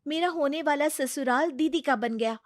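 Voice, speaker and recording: A woman's voice: sits high, normal speed, no room sound, clean studio sound, voice pushed up, natural voice. A woman voicing an earnest young woman in a cartoon, eager to please and a little anxious.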